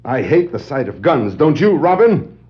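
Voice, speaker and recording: A man's voice: on the high side, sing-song, normal speed. Steadfast masked lawman, confident, firm, 1960s superhero drama, dull recording, heavy noise. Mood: confident, wry. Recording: dull old record, loud background noise.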